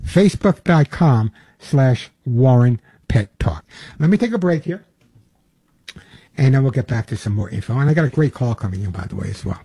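A man's voice: medium pitch, animated, normal speed, dark tone, breathy edge, no room sound. An older man hosting a long-running pet radio show, talking in an emphatic, folksy monologue that repeats its points. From Long Island, New York.

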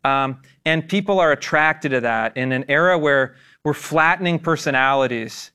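A man on a panel giving a measured, earnest opinion. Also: a little high, pushed and bright, clear, resonant tone. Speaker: guest commentator. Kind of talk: opinion commentary.

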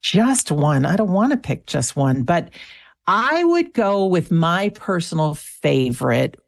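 A woman's voice: fairly low pitch, very animated, slow, gravelly, dry recording, studio quality. A North American woman of sixty talking as a guest in a conversational radio interview, earnest and enthusiastic. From small town near Niagara Falls. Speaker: interview guest, afterlife show host and author.

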